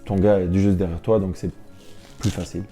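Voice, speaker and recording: A man's voice: low voice, little pitch movement, moderate pace, warm tone, soft background music. A young man talking informally and reflectively in an interview as a professional athlete, often repeating words as he builds his sentences. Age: young adult. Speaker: professional basketball player, interview guest. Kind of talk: casual interview talk.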